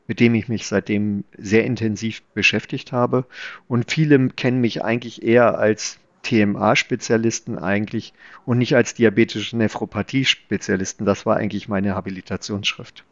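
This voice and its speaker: Man, middle-aged, senior physician, interview guest, halting explanatory talk, muted lo-fi sound. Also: mid-range voice, no room sound. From Germany (Palatinate-born, raised in Berlin). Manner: explanatory, with frequent hesitations. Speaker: guest, chief physician in nephrology. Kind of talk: conversational podcast interview. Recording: dull, dry remote recording.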